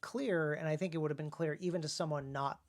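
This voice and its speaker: A man hosting a casual discussion about craft, speaking haltingly and often repeating words as he thinks. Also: a little high, fairly even intonation. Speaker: podcast host and author.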